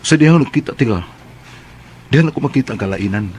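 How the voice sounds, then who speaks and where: A man's voice: mid-range voice, animated, fast, a little mellow, slight background noise, lightly acted. An actor playing a young man in a radio drama who stammers and hesitates while asking a woman out. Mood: nervous, earnest. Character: young man, ex-boyfriend, awkward suitor.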